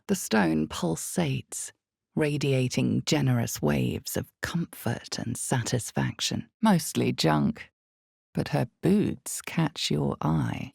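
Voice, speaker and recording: An ominous female storyteller for a fantasy role-playing game. Her voice sits extremely low and moves slowly, recorded close and dry. She is deliberate and slyly knowing, dropping into hushed emphasis for drama. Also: vocal fry, husky, dry recording, heavily acted. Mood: measured, grave. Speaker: game narrator.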